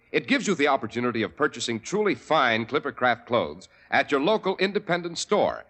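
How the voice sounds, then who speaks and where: A man's voice: medium pitch, a bit bright. Persuasive old-time radio announcer man. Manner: smooth, persuasive salesman delivery. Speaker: sponsor announcer. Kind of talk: 1940s radio ad read.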